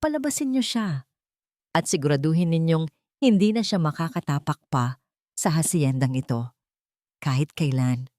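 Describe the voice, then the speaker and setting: A woman's voice: low voice, animated, normal speed, pauses often, mellow, no room sound. An intense, commanding billionaire man full of anguish, voiced by a woman storyteller in a romantic audio drama. The delivery is emphatic, and the sound is dry. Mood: cold, commanding.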